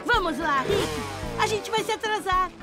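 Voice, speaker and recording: A woman with a Brazilian accent voicing a gullible, easily worried young boy dirt bike in a cartoon, excitable and melodramatic. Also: extremely high pitch, a little slow. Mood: chatty. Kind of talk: animated children's cartoon dubbing. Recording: loud music behind the voice.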